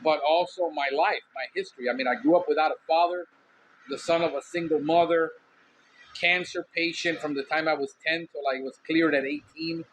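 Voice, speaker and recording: Man, Cuban Miami accent, loud, outspoken, candid, cigar-smoking podcast host, slightly high voice. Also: fairly even intonation, a little fast, slight background noise, poor audio. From Miami, USA. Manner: smoking a cigar while talking. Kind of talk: casual monologue.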